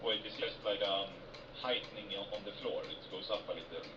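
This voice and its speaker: A man's voice: fairly low pitch, brisk, lo-fi sound. A man from Sweden with a Swedish accent, a non-native speaker of English, playing in a tabletop game and often searching for words. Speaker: player. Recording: distant echoey voice chat with background noise.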